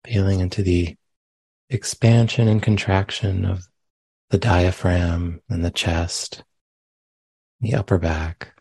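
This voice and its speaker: Male meditation guide, gentle and very slow, dark soft-edged tone, close dry sound. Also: low voice, steady tone, vocal fry, breathy edge, no room sound. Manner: gentle, unhurried, with long pauses. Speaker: meditation teacher. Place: meditation center.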